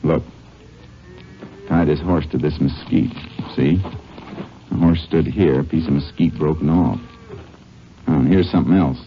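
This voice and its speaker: Muffled vintage radio recording with music. A low-voiced American lawman, steady and methodical, talks in deliberate, plain words in a 1950s western radio drama. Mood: focused, intent. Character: steady, methodical male Texas Ranger investigator in a western. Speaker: lead actor in a radio drama. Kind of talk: 1950s radio drama acting with first-person narration.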